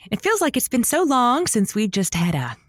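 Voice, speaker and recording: Playful, flustered female radio host for audio comedy; highly animated pitch, close dry sound. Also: no echo. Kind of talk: audio comedy drama dialogue.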